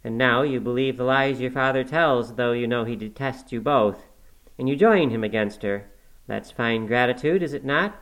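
A man's voice: medium pitch, moderate pace, fairly bright tone, lightly acted, poor audio. Guy reading as an older servant woman, stern n indignant, scolding in an audiobook, really emphatic. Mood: reproachful, pleading. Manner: emphatic, reproachful. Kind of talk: audiobook character acting.

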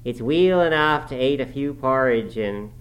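A man voicing a grumbling, pious old manservant in broad Yorkshire dialect, sneering and scolding with drawn-out words. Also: mid-range voice, lively intonation, voice pushed up, natural voice. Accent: broad Yorkshire dialect, put on.

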